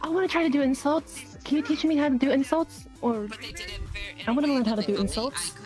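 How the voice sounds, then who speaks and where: A woman improvising as an eager, naive young character in online roleplay, halting and repeating herself. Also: high voice, over music.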